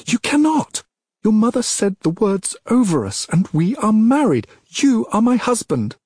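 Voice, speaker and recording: A man voicing a headstrong, desperate young princess in a story, shrill, insistent and emotional. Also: big pitch swings, measured pace, a little mellow, slightly rough, no room sound, clean studio sound, exaggerated voice. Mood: desperate protest.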